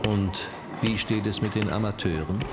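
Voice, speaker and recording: An actor playing a sober, persistent police detective in a radio drama, questioning people matter-of-factly. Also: male voice, fairly low pitch, moderate pace, close to an everyday voice.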